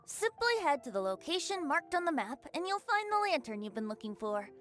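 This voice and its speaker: A woman's voice: high voice, sing-song, brisk, a bit bright, faint music behind. A woman voicing a carefree, teasing young boy bard in a video game, playful, breezy and theatrical. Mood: theatrical, sly.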